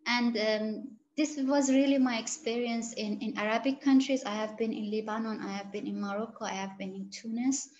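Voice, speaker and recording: Halting woman on a dull call. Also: a little high, steady tone, a little mellow. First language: Gilaki. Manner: halting, searching for words, many restarts. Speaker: interviewed researcher. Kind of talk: interview answers.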